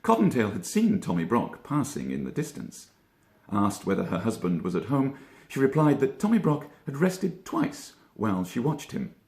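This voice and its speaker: A man reading a children's story as an actor would, with expressive narration and deliberate dramatic pauses. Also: mid-range voice, creaky. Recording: echoey room.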